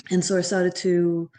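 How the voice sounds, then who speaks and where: A woman from Germany speaks, her voice pitched on the low side and barely moving in pitch. She is a podcast guest in her thirties. She explains things at a steady pace and often pauses on "um" or restarts a sentence. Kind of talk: casual interview talk.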